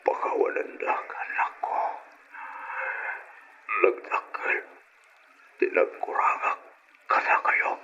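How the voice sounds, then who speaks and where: A Filipino man with an Ilocano accent playing a weak, remorseful middle-aged father in prison, breathy and halting, in an old radio drama. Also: bright, forceful tone, whispered. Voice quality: breathy, broken delivery. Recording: dull, noisy old radio drama recording.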